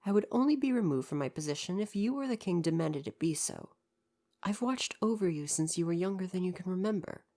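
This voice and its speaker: A woman's voice: lowish voice, warm tone. A woman playing a devoted royal butler in an ASMR roleplay, speaking in a formal, deferential and precise way with parental care. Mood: tender, reassuring.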